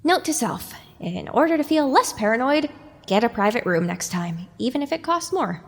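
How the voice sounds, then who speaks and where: Lady playing a small young filly, wry n grumbly, highish voice, lots of reverb, audio drama. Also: big pitch swings, moderate pace. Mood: exasperated. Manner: exasperated, wry muttering to herself.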